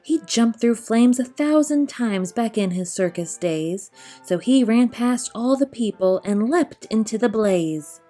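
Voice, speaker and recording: Mellow woman reading a children's storybook. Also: a little high, animated, faint music behind. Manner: measured, rhythmic verse delivery. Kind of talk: expressive children's picture book narration.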